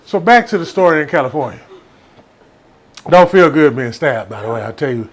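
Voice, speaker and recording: Animated Southern man, higher-pitched, telling stories. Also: fairly quick. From Texas, United States. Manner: animated, rambling, playful. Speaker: storyteller. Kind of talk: casual comic storytelling.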